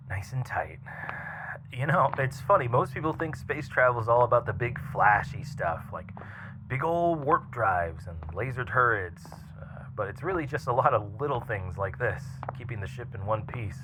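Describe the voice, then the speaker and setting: A man playing a seasoned, flirty and chatty spaceship mechanic in an intimate ASMR roleplay, teasing and breathy, dropping toward a whisper. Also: mid-range voice, lightly acted. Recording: clearly noisy ambient background hum.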